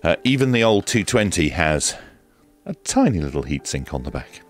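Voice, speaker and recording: A man's voice: deep voice, very animated, normal speed, faint music behind. A man presenting a hands-on product review in a casual, hesitant way with dry, understated humour. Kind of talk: casual hands-on unboxing commentary.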